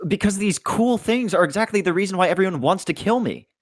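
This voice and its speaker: A stubborn college freshman boy on the run, played by a young performer at a table read. He sounds earnest and pleading, with a mid-pitched voice. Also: expressive, fast talker, lightly acted. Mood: desperate, upset. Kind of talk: amateur script table read.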